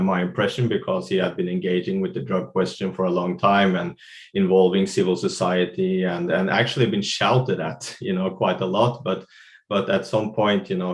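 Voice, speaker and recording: A man with a Norwegian accent speaks on a webinar panel with a fairly low voice. He talks in a halting way, with many fillers and restarts as he works through his points. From Norway. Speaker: NGO managing director.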